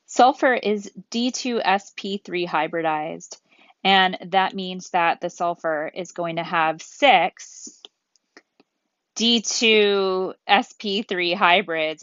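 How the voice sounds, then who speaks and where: A woman with a lowish voice lectures at a very unhurried pace. She explains things methodically, one step at a time, as if working at a board. The sound is dry and slightly dull. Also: no room sound. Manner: methodical, deliberate, step-by-step phrasing. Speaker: chemistry lecturer. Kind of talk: explanatory lecture, thinking aloud while drawing.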